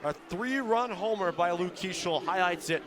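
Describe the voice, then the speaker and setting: A man's voice: high-pitched, moderate pace. Male baseball play-by-play announcer over crowd noise. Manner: fluent descriptive calling, building to excited shouts on big plays. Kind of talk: live radio sports play-by-play commentary. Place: outdoor baseball stadium broadcast position. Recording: live ballpark with crowd noise behind.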